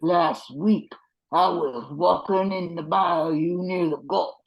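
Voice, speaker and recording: An older amateur performer plays a watchful swamp creature from a radio play. The voice is husky and full of gravel. It talks slowly, in a plain rustic way. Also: mid-range voice, rough recording. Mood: grave recollection.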